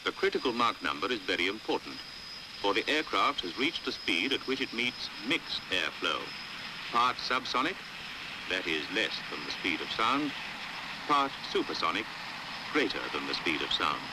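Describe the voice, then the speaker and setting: A British man narrating a 1950s educational film in a measured, precise, didactic way, on an old hissy soundtrack. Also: fairly low pitch, sing-song. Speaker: documentary narrator. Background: faint hiss.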